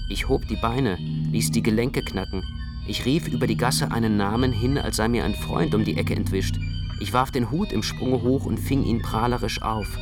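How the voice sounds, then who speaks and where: A man reading literary first-person narration in a measured, carefully phrased way. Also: mid-range voice.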